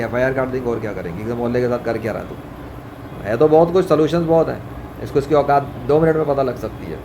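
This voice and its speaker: A man delivering an informal religious monologue in an indignant, scolding way, repeating phrases for emphasis. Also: mid-range voice. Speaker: religious vlogger. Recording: clearly noisy, ambient background hum. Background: ambient noise, running fan.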